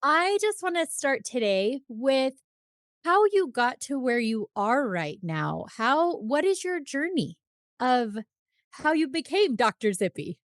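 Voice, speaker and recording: A woman's voice: high-pitched, very animated, takes it slowly. A woman hosting an interview show, speaking in an effusive, friendly way as she asks questions. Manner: effusive, laughs while talking. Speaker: podcast host. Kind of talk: casual interview chat.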